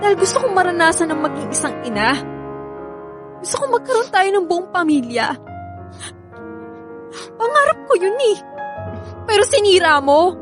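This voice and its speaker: Very high-pitched, bitter Filipino mother. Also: big pitch swings, normal speed, pauses often, music in the background. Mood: bitter, anguished. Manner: accusing, emotional. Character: bitter, grieving mother blaming her estranged husband. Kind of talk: Filipino radio drama acting.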